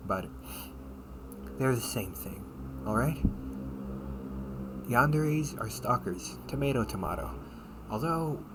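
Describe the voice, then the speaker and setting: Through clear background noise comes a man playing a lowish-voiced, protective older brother in an audio roleplay. He speaks slowly and haltingly, a sardonic captor who mocks and threatens in a casual way, slipping into breathy asides. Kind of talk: amateur audio roleplay acting, one-sided dialogue. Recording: noisy amateur home recording.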